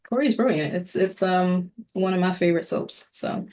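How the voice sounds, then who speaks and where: A woman's voice: on the low side, moderate pace, a little mellow. A woman co-hosting a podcast in a casual, chatty style. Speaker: podcast co-host. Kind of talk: casual chat.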